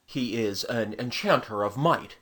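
A haughty, noble knight of old, performed by a woman storyteller. The voice sits very deep and husky, delivering stately, pompous archaic lines with grand rises and falls. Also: expressive, measured pace, a bit bright, full character voice. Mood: grave sympathy. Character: haughty, gallant medieval knight captain, male, gravely noble. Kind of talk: storybook character dialogue.

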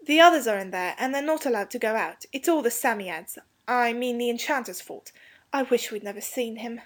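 An eager young schoolboy from a storybook, played by a woman reader. The voice is high and boyish, with earnest, hasty speech that trips over its own slang while trying to stay polite. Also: animated, fairly quick. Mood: flustered explaining. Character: eager, hasty young English schoolboy, flustered but courteous. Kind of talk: storybook character dialogue.

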